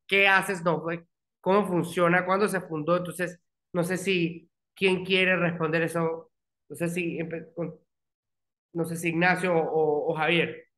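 A man's voice: fairly high pitch, fairly even intonation, moderate pace, bright, forceful tone. A man in his thirties hosting an interview over a video call, often restarting and repeating words. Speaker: podcast host. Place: small room at home, indoors.

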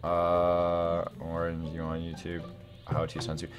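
A young adult man gives casual gameplay commentary, excitable and slangy as he thinks aloud. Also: low-pitched, a little slow, faint music behind. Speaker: gaming video host.

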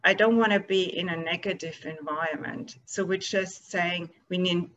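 A woman's voice: lowish voice, steady tone, moderate pace, fairly bright tone, lo-fi sound. A woman artist casually answering audience questions over a video call. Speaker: guest artist, photographer.

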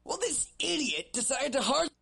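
Girl playing a snippy machinima ai, high bright voice, synthy doubled effect. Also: big pitch swings, moderate pace, gravelly, full character voice. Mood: irritated. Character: irritated female artificial intelligence in a machinima. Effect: doubled, synthy computer-like filter. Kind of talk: scripted machinima voice acting.

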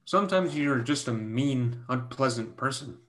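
A man reading the part of a gentle young Chinese mathematician and tutor in a staged play reading, a little haltingly. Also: medium pitch, animated. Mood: hurt, reproachful. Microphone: video call.

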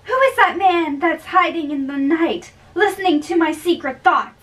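Anxious young noblewoman, acted by a woman, high pitch, girlish, bashful, amateur drama reading, distant room recording. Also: expressive, normal speed, a bit bright. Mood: alarmed wonder. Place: small bedroom, indoors. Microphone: far camera.

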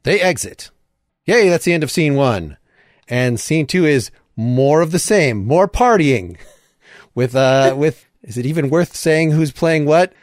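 A man's voice: on the high side, animated, a little slow, dry recording, clean studio sound. A man hosting a play reading, casually and wryly giving out stage directions.

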